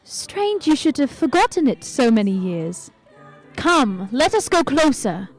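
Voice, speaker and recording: Woman acting ghostly spirit, highish voice, lilting range, calm command, formal, music behind, radio drama. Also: exaggerated voice. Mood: gently commanding. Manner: formal, measured, solemn. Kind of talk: old-time radio play acting.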